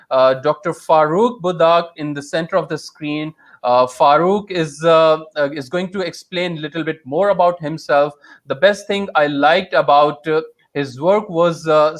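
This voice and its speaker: A man from Pakistan hosts a live online talk, his voice sitting a little high for a man. He halts often with fillers and meets his guests' stories with eager exclamations. He is heard through a video call. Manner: hesitant, many fillers, enthusiastic exclamations. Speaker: webinar host. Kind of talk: live webinar interview.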